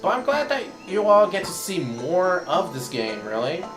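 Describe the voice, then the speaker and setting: A man with a hoarse voice giving casual, rambling commentary while he plays a video game. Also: sits high, sing-song, moderate pace, roomy sound. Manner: rambling, thinks aloud while playing. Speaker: let's play commentator. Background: game music.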